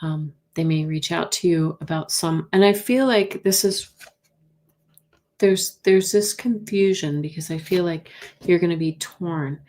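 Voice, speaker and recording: A soft-spoken woman reading tarot cards on a live stream, gently thinking aloud and answering viewers by name. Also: fairly low pitch, measured pace.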